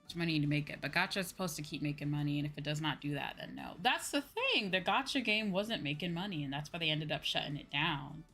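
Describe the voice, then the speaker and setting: A woman's voice: low-pitched, expressive, fast, hardly any pauses, pushed and bright. A woman livestreamer chatting casually while she games, talking in a colloquial, slangy and mildly exasperated way. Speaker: VTuber streamer. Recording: music playing behind the voice. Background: background music.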